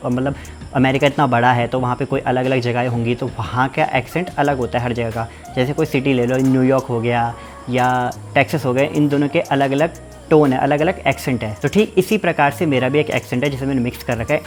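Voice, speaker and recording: A young man talks fast in a relaxed home vlog. His speech is informal and a little repetitive as he explains things in his own words. His pitch sits in the middle of the range. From Uttarakhand, India. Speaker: vlogger. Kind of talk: casual family vlog chat. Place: village home in the hills.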